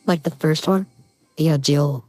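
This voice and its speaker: Cute girly filter voice, woman testing it, slow and playful, music in back. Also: warm tone. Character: cute high-pitched girl. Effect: high girly voice-changer filter.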